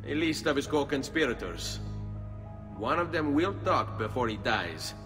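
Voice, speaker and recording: A man's voice: mid-range voice, very animated, moderate pace, natural voice. A man as a resolute young Italian assassin, music behind. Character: determined young Italian male assassin avenging his family. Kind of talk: video game cutscene acting.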